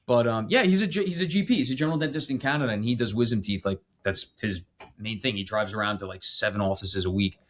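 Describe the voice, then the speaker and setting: A man's voice: on the low side, fast, fairly bright tone. Reassuring man hosting a podcast. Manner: encouraging, reassuring, casual. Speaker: podcast host, oral surgeon. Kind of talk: casual podcast conversation.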